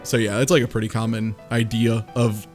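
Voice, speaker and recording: A man's voice: lowish voice, level tone, brisk, a little mellow, faint music behind. A man co-hosting a casual podcast chat, rambling and thinking aloud with lots of fillers. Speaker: podcast co-host. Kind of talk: casual chat about fiction.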